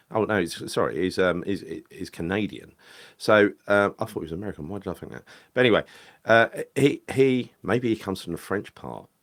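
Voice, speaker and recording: A man talking casually about football, rambling and correcting himself with lots of fillers. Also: low-pitched. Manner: rambling, hesitant, frequent fillers and self-corrections. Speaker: football podcast host. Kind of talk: casual solo football chat.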